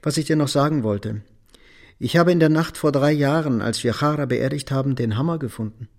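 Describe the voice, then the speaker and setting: A man's voice: mid-range voice, a little fast, a little mellow, close to an everyday voice. An actor playing a weary, resolute middle-aged man in a German radio drama. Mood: firm, accusing.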